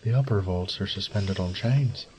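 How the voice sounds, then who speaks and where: A man playing a wry, resourceful thief in an audio drama, muttering gruffly and cursing to himself. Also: low voice, normal speed, darkish tone, slight background noise, close to an everyday voice. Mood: frustrated, scheming. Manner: gruff, sardonic, swearing under his breath. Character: wry, foul-mouthed adult male thief, resourceful under pressure.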